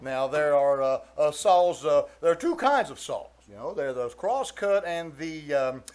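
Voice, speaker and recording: A male actor performs a middle-aged uncle from a 1940s radio comedy, pompous and blustery. His voice sits fairly high for a man and swings widely in pitch. He hems, exclaims and gets flustered with an air of great self-importance. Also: very animated, moderate pace, a little mellow. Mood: flustered bluffing. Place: live stage performance in a theater.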